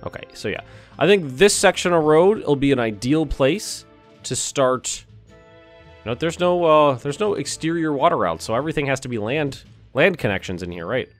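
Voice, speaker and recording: Man, slightly hoarse, casual gameplay commentary, thinking aloud, mid pitch, faint music behind. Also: animated, normal speed. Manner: thinking aloud, muttering, mild cursing. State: a little hoarse. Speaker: let's play host.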